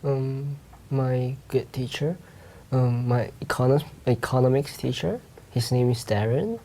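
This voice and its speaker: A man's voice: medium pitch, a little slow, warm tone. A young man from Malaysia answering exam questions hesitantly with many fillers. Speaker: exam candidate.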